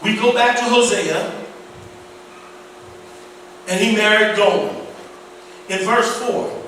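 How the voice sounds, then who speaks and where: High-voiced pastor in an echoey hall. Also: male voice, fairly even intonation, bright, forceful tone, slight background noise. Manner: slow, stumbling over Hebrew names, repeats words. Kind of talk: Bible teaching sermon. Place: church hall. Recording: echoey hall, voice far from mic.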